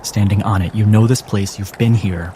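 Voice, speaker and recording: A man narrating a tabletop game as game master, with deliberate, dramatic phrasing. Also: on the low side, steady tone, background noise. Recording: music behind the voice. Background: clear music.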